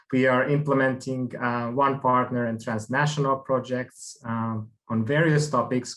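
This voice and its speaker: A man's voice: medium pitch, low-quality recording. A Hungarian-speaking man from Vojvodina in Serbia, introducing himself on a video call with many fillers. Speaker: project coordinator.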